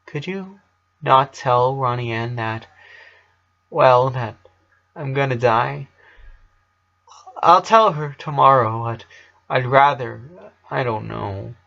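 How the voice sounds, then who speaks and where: A man's voice: medium pitch, slow, creaky, pauses often, pushed and bright, highs cut off, heavily acted. A man voicing a troubled eleven-year-old boy in a story reading, hesitant and vulnerable. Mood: hesitant, sad.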